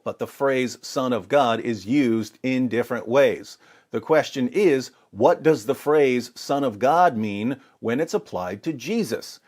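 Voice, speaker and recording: Animated man delivering dramatic religious commentary. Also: medium pitch. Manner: dramatic, rhetorical, sarcastic mock alarm. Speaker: apologetics presenter. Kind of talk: scripted religious commentary with scripture reading.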